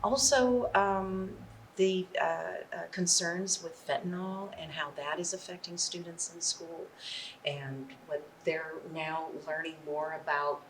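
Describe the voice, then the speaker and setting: A woman explaining as a theatre director in an interview, in a halting way. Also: fairly low pitch, steady tone. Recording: distant mic, faint background noise.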